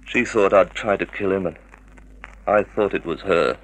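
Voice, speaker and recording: A man's voice: low-pitched, normal speed, low-quality recording. An actor playing a hot-headed man in a 1950s radio crime drama. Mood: dawning realisation. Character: hot-headed male suspect framed for poisoning.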